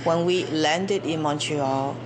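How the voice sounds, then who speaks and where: An older woman from China speaks in a low, unhurried voice as she tells her story reflectively. A steady hum of background noise sits under the recording. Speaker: business owner in a testimonial. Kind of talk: interview testimony.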